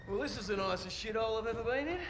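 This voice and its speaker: An actor playing a cheeky captured Allied soldier, brash and sarcastic. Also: male voice, high voice, racing, lightly acted. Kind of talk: scripted game cutscene dialogue. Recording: faint background noise. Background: faint ambience.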